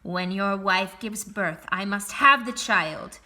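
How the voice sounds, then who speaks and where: A woman voicing a cruel old sorceress who speaks slowly and menacingly, with loud cries. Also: mid-range voice.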